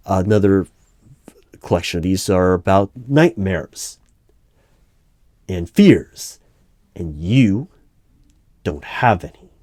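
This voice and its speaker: A man playing an unnerved, exasperated hiring manager in a one-sided audio roleplay, with halting speech that repeats words. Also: low-pitched, a little mellow, no room sound. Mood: weary, matter-of-fact.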